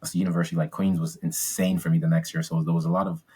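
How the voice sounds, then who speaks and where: A young man from Ghana speaks in a fairly low voice. He talks as a podcast guest, chatty and upbeat, filling pauses with ums and restarting his sentences. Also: flat delivery, darkish tone, husky. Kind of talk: casual interview storytelling.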